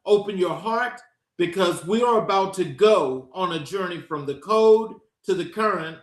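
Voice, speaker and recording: A man's voice: high-pitched, fairly bright tone, echoey room. A man hosting an interview show, opening with dramatic, emphatic lines and asking heartfelt questions. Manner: dramatic, emphatic intro delivery. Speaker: show host.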